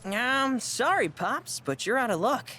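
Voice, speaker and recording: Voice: medium pitch, sing-song, a little slow, noisy background. A performer voicing a shrewd young country boy in a video game, folksy and businesslike, with a Southern American accent. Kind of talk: video game cutscene acting.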